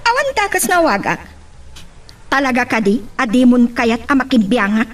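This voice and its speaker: Worried, very animated young woman, played by an actress. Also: fairly high pitch, moderate pace, a little hiss or hum. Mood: concerned. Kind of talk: Ilocano audio drama acting. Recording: slightly distant from the mic.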